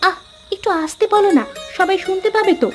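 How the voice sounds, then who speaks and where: A woman's voice: extremely high pitch, animated, a little fast, faint music behind. A woman voicing an outspoken, well-off younger sister in a cartoon, pert and chiding. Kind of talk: cartoon dialogue acting.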